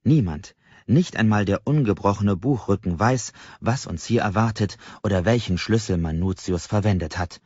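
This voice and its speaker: Low-voiced male audiobook narrator, dry sound. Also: darkish tone, breathy edge, no room sound, lo-fi sound. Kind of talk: expressive literary narration, present tense.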